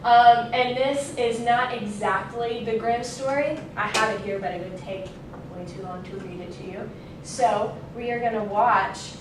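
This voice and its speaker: A woman's voice: a little high, fairly even intonation, a little slow. A young adult woman giving an informal student speech in a classroom, casual and loosely worded, correcting herself. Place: indoor classroom. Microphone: distant camera microphone. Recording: very echoey, noisy room, distant mic.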